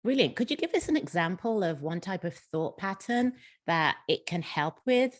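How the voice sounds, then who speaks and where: Female moderator, encouraging, slightly low-pitched; dry, close sound. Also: lively intonation, moderate pace, no echo. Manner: warm, encouraging, repeats words when starting sentences. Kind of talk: hosting a panel conversation. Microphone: video call.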